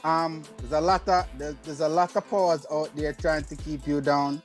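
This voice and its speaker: Dude on a panel show, higher voice, earnest, pushing people to vote, light music under. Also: male voice, fairly even intonation, moderate pace. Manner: earnest, urging viewers to vote, with small jokes. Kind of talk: casual panel chat.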